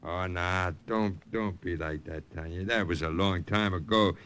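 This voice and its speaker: An actor playing a self-serving, cynical young brother, breezy and defensive, in an old-time radio drama. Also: male voice, low voice, unhurried, a bit bright, clear, resonant tone. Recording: vintage radio drama recording.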